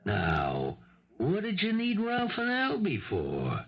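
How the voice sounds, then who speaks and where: Gravelly police lieutenant. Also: male voice, high-pitched, wildly swooping pitch, slow pace, exaggerated voice. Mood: sardonic, pressing. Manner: hard-nosed, sardonic. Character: gruff, insistent police lieutenant pressing for a confession. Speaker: actor in radio mystery drama. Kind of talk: 1970s radio drama acting. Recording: dull lo-fi 1970s radio recording.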